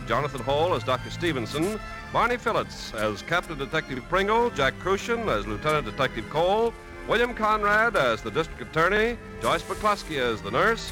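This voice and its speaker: A man announcing formally on 1950s radio, crisp and declamatory. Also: fairly high pitch, rough recording. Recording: 1950s radio, music and crowd behind.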